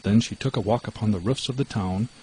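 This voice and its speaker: A man reading a fairy tale as an audiobook narrator, in an expressive storytelling style. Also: fairly low pitch, fast talker, slight background noise, rough recording.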